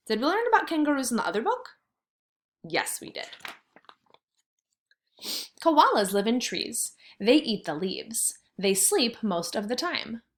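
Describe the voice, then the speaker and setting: A woman teaches kindergarteners in a fairly high voice that rises and falls in a very animated way. She reads aloud and explains in simple words, often posing questions to the children as she goes. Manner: expressive, simple wording with questions to pupils. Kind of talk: read-aloud lesson for young children.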